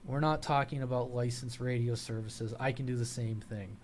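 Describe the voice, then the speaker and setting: Male hobby vlogger, mid-pitched, folksy and informal, halting delivery, audible ambient noise behind the voice. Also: fairly even intonation, darkish tone. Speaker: hobby radio channel host. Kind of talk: casual vlog talk to viewers.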